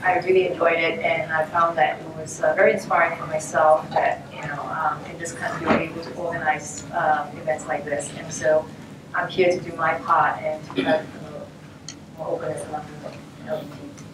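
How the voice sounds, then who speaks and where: A woman from Singapore speaking at a conference panel in a measured, slightly hesitant way. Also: sits low, level tone. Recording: roomy, distant mic, audible noise.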